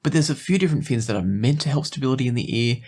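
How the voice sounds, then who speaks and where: A man presenting a product review in a conversational, explanatory and even-handed way. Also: medium pitch, rapid-fire. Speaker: host of an audio gear review show.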